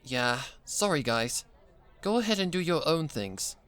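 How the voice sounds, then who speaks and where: A man voicing a composed, kindly female pony musician in a story, calm and reassuring like an older sister. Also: on the high side, unhurried, clean tone, some chatter behind, pitched above the performer's own voice.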